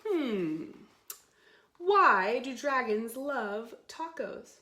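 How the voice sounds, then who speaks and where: Slow, echoey woman hosting children's storytime. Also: a little high. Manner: playful, simple, exaggerated expressive delivery for young children. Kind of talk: children's storytime, guided stretching and read-aloud.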